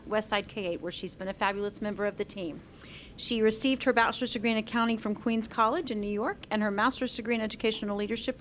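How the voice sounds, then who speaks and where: Brisk woman reading a formal report. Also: fairly high pitch, slight background noise. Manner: brisk, reads a formal list. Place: school board meeting room.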